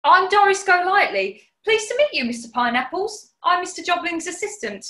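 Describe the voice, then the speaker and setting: A naive, eager English maid, played by a woman, has a high, bright voice that leaps about in pitch. She is plucky and wide-eyed, acted as 1940s radio comedy in an echoing room. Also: sing-song, normal speed, heavily acted. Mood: flattered, excitable.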